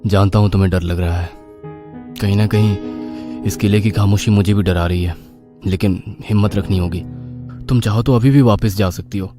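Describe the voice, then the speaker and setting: A man voicing an anxious, determined horror writer with earnest, dramatic delivery in an animated story. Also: lowish voice, normal speed, pauses often, music in the background, natural voice. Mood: concerned.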